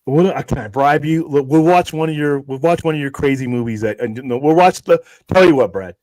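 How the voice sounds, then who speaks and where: A man's voice: a little high, a little fast, a little mellow, no echo, rough recording. A man co-hosting a live stream, ribbing his partner in incredulous, joking banter. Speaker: co-host. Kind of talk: casual live stream chat.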